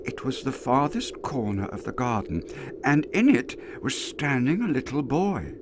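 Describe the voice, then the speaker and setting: A man giving an expressive dramatic reading of a classic tale, lingering over words and dropping to hushed asides. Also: mid-range voice, unhurried, background noise. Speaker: storyteller. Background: soft music underscore.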